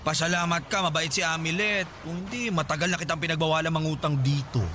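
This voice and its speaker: A man's voice: a little high, fairly even intonation, fast talker, background noise, natural voice. A man playing a sassy, sarcastic food-stall keeper with a Filipino accent in a radio drama, snide and tart. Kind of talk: Tagalog radio drama acting.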